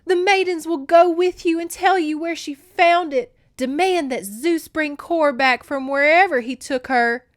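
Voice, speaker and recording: A woman's voice: sits high, unhurried, hardly any pauses, pitched above the performer's own voice. A woman voicing a bitter, insistent female conspirator in a mythological story, urging someone to act. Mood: urgent. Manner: urgent, insistent.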